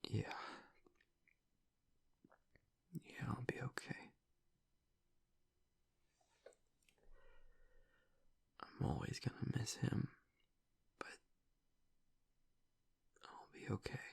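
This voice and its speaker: A man playing a gruff young werewolf pack alpha in an intimate audio roleplay, halting and tearful, his voice breathy and gravelly as he grieves and doubts himself. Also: in a whisper, lightly acted. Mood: tearful, tender. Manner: halting, low-voiced, choked with emotion. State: tearful, on the edge of crying.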